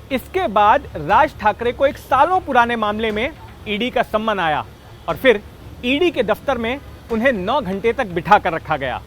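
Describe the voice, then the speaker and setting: A man's voice: high-pitched, fairly bright tone, slight background noise. A man narrating a scripted political news explainer in an emphatic storytelling manner. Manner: emphatic storytelling with rhetorical questions. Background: background music.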